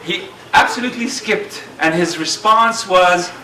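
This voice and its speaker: Middle-aged man with a booming voice giving a dinner speech, bright and forceful, heard from a distance in a big echoey hall. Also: a little slow. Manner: earnest storytelling with fillers. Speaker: speaker at a community dinner. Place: large banquet hall. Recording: roomy hall sound, distant mic.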